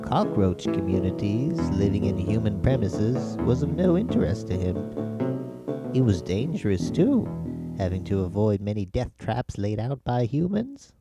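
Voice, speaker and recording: Slow, creaky British man reading a story. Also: fairly low pitch, sing-song. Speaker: author reading own story. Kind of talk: children's-story style scripted narration. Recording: music behind the voice.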